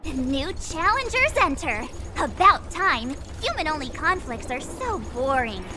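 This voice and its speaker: A woman's voice: sits high, sing-song, unhurried, background noise. A woman playing a perky, curious teenage girl adventurer in an anime-style video game, bubbly and exclamatory. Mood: excited.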